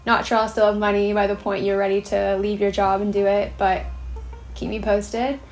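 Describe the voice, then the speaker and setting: A twenty-six-year-old American woman talks to camera in an easygoing, conversational manner. Her voice sits at a middle pitch. Music plays clearly behind her. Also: little pitch movement, normal speed, poor audio. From Massachusetts, United States. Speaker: vlog roommate. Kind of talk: casual chat to camera.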